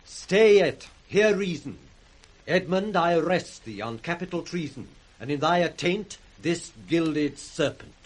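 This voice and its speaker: An actor playing a stern, righteous duke with formal, firm declamation in 1960s radio Shakespeare. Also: male voice, fairly high pitch, animated, a little slow, low-quality recording. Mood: stern, accusing.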